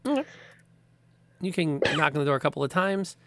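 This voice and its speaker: A male game master describing scenes for his players, unhurried and full of small hesitations. His voice is gravelly and moves a lot in pitch. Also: no room sound. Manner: relaxed, hesitant, lots of ums. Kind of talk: narrating a tabletop game.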